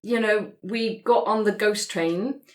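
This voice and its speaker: A woman's voice: medium pitch, slow pace, close to an everyday voice. A woman playing a wary, wry young woman in a tabletop game, speaking casually and tentatively. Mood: uneasy. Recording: slightly roomy sound.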